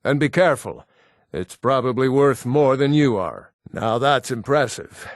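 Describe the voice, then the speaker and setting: Stern, measured Nord warrior. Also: male voice, medium pitch, animated, no room sound, studio quality. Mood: brusque, testing. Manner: solemn, commanding, terse martial delivery. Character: gruff proud Nord warrior, stern battle-hardened brother-in-arms. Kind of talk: fantasy video game voice acting.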